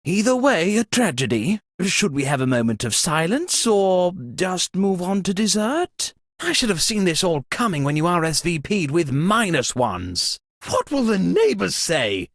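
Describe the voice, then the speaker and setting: An actor playing a pompous, flustered aristocratic British lord in a video game, with a theatrical, fussy delivery. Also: male voice, high voice, sing-song, a little slow, dry recording, clean studio sound, exaggerated voice. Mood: dismayed, droll. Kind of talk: video game character voice acting.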